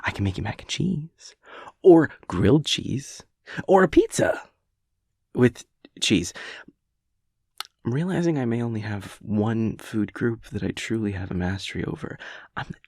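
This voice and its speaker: A chaotic gremlin of a boyfriend, played by a man, nervous and evasive in an audio roleplay. His voice swings freely up and down with a gravelly edge, captured close and dry. He stammers and rambles as he dodges. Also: on the low side, expressive, a little mellow, dry recording. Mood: sheepish, coaxing. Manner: stammering, flustered, rambling with halting hesitations. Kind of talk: improvised-sounding roleplay audio monologue.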